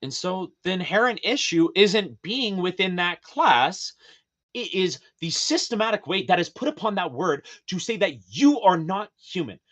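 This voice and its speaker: A man with a high, slightly feminine voice, husky and a little breathy, delivers an emphatic, profane rant. His pitch swings widely, jumping up in sudden outbursts and dropping low for serious points. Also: sing-song, normal speed, fairly bright tone. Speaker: podcast guest. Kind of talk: casual podcast rant.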